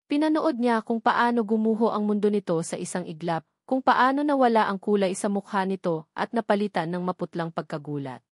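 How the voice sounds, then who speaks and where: A woman's voice: mid-range voice, expressive, brisk, few pauses, no room sound, clean studio sound. A woman with a Filipino accent narrating a scripted love drama in a solemn, dramatic way. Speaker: storyteller narrator.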